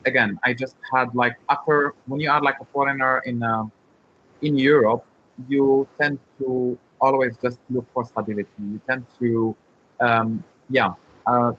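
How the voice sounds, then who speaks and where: Muffled man explaining haltingly. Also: medium pitch, a bit bright. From Morocco. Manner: halting, repeats words, searching for phrasing. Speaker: startup founder, webinar guest. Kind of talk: informal interview answers, explaining. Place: online webinar call. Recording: muffled, band-limited online call.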